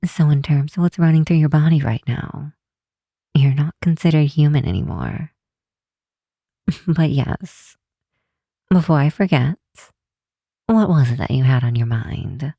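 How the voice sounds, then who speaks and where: Woman playing a slow, mellow, affectionate vampire researcher. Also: deep voice, animated, creaky, dry recording, studio quality, heavily acted. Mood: playful, affectionate. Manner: tender, teasing, chuckling, hesitant, unhurried. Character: affectionate, bookish vampire researcher, a close friend. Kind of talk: ASMR roleplay, one side of a late-night phone call.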